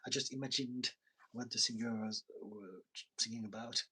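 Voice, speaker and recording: A man's voice: mid-range voice, fairly even intonation, moderate pace, husky. A man with a French accent, a tired therapist chatting casually on a live stream and reading out viewer comments with frequent laughs. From France. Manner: chatty, laughs often, soft asides. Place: home in London.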